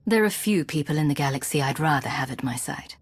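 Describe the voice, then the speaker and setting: A low, husky female agent for a video game. She is composed and calculating, speaking in a cool, measured way, recorded very dry. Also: animated, no echo. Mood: calm, firm. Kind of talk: video game cutscene acting.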